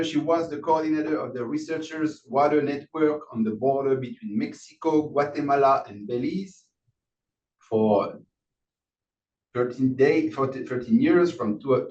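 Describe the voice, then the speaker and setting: A man with a French accent introducing the guest speaker at a conference session, halting and correcting himself as he goes. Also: mid-range voice, darkish tone. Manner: halting, with frequent restarts. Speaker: session moderator. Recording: roomy, distant, dull sound with faint noise.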